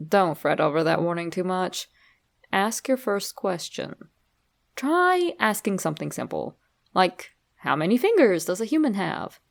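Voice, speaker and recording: A woman reading a creepypasta as scripted narration, giving instructions in a deliberate, measured storytelling manner. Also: on the low side, sing-song, gravelly.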